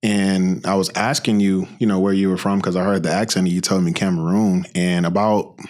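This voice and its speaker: A middle-aged man hosting a podcast interview, talking in a relaxed, conversational way and often giving short acknowledgments. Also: low voice, fairly quick. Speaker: podcast host.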